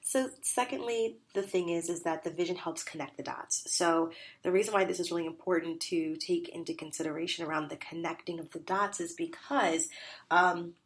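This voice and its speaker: A woman's voice: fairly low pitch, moderate pace, a little hiss or hum. A woman hosting a solo business podcast, nasal and congested from a cold, coaching listeners with an emphatic, repetitive delivery.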